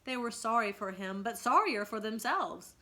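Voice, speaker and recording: A woman's voice: a little high, animated, normal speed, pushed and bright. A woman reading a children's story aloud dramatically, in a teacherly way that invites listeners to think.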